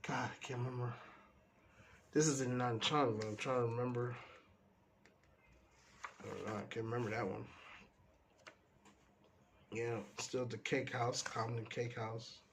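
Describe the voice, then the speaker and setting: A man, stuffy from a cold, casually talking through the pages of his sketchbook in a relaxed, meandering way. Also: mid-range voice, unhurried, pauses often, background noise. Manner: relaxed, meandering, trails off often. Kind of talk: casual rambling commentary.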